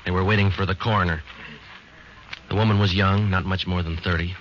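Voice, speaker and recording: An actor playing a stoic, businesslike police sergeant in a 1940s radio crime drama, talking in terse, matter-of-fact phrases. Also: male voice, low voice, fairly even intonation, fairly quick, a little hiss or hum. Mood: grim, businesslike. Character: stoic, businesslike male police sergeant, narrating his cases. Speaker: detective and narrator. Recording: dull old radio recording, loud music.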